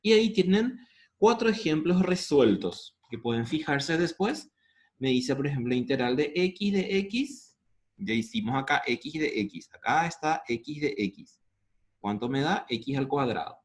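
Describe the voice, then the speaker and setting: A man's voice: fairly high pitch, lively intonation. A man with a Paraguayan Spanish accent teaching integrals step by step, asking the class questions and answering them himself. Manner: asks the class questions and answers them, slows down over formulas. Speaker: math teacher.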